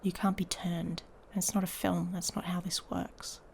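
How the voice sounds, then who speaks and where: A woman playing an irritable, sarcastic she-wolf pack heir in an intimate ASMR audio roleplay, soft and breathy, often near a whisper. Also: on the low side, level tone, a little slow, slight background noise. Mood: grudging, sardonic. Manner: sardonic, exasperated, drawling with sighs.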